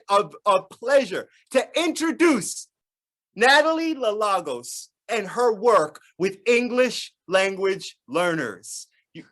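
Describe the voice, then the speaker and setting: An event host in a school library, hyping the audience in a playful, lively way. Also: mid-range voice. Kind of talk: upbeat event presenting.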